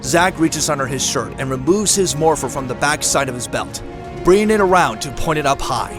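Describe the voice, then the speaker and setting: A man's voice: fairly high pitch, lively intonation, brisk. A man reading scripted dramatic narration for an audio drama, describing action scenes as a storyteller. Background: clear dramatic music.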